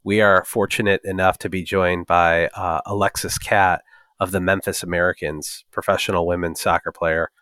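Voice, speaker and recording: Deep voiced guy hosting a podcast, jokey n rambly, dry close sound. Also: flat delivery, normal speed, pushed and bright, smooth, dry recording. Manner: jokey, rambling, filler-heavy. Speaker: podcast host. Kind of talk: casual podcast interviewing.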